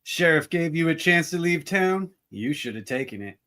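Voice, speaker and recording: A man plays a menacing frontier deputy in a radio play. He is smug and threatening, with a slightly high voice for a man. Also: animated, moderate pace, sharp tone. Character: menacing, bigoted Old West deputy henchman. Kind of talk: amateur radio play acting.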